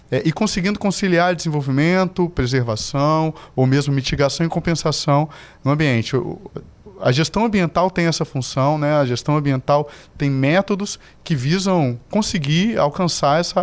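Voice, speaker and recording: Measured middle-aged Brazilian man. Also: a little high. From Brazil, Salvador. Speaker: guest, environmental manager. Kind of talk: formal interview answers.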